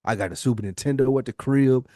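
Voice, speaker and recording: A man's voice: medium pitch, fast, warm tone, dry recording. Male, forties, podcast co-host. Casual, profane storytelling with slang and repetition, recorded dry and close. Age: 40s. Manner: slangy, profane, repeats phrases for emphasis. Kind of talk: casual chat, personal storytelling.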